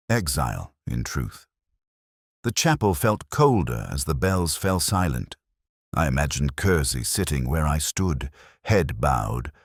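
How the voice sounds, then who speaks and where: A man acting a melancholy detective looking back on a case in a radio play. The voice is extremely low and swings noticeably in pitch as the measured, literary narration unfolds. It is recorded close and dry, with a solemn, reflective delivery. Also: dry recording, full character voice. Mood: weary, resigned. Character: weary, melancholy older male detective narrating his case. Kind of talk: scripted first-person dramatic narration.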